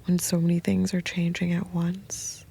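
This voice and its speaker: A woman whispering soft, meandering, intimate late-night rambling from the floor of a small sound booth. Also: fairly low pitch, monotone, normal speed, gravelly, noisy background. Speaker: host.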